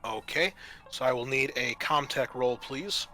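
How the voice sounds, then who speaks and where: A man with a bright, clear-edged voice runs a tabletop roleplaying game. He narrates off the cuff at medium pitch, halting in the middle of sentences. Music plays faintly behind him. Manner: halting, pausing mid-sentence. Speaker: game master.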